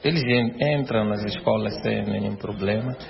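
Male official, low gravelly voice, halting, echoey crowded room. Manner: halting, with fillers. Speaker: education official. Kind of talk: interview statement. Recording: dull recording in reverberant crowded hall.

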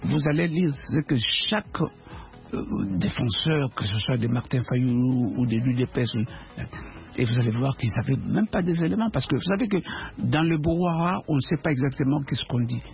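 A man's voice: medium pitch, animated, moderate pace, creaky. A man from the Democratic Republic of the Congo with a Congolese French accent, speaking as an interview guest in a combative, emphatic way full of rhetorical questions. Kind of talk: argumentative political interview. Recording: dull lo-fi radio sound, faint music.

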